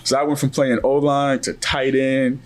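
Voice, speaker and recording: A young man from West Africa hosting an interview, talking in a relaxed, loose way. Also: medium pitch, moderate pace. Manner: relaxed, laid-back, loose phrasing. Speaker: podcast host. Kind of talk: casual interview chat.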